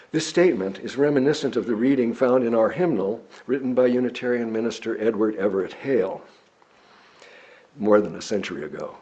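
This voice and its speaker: A man reads a written sermon aloud in a measured, deliberate, oratorical way, with a husky voice. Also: on the low side, mellow. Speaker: lay preacher reading a written sermon. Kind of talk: sermon read from a script. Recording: dull, lo-fi recording.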